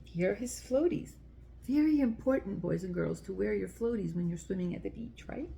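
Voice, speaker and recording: A woman with a mid-pitched voice hosts a story time for young children. She speaks in the style of a picture-book read-aloud. Her phrasing is simple and explanatory, aimed straight at her little listeners. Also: moderate pace, vocal fry, noisy background.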